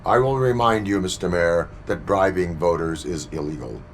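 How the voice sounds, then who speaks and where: An actor playing a stiff, dutiful cyborg police officer in a video game, speaking in a flat, formal, measured way. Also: male voice, deep voice, a little hiss or hum.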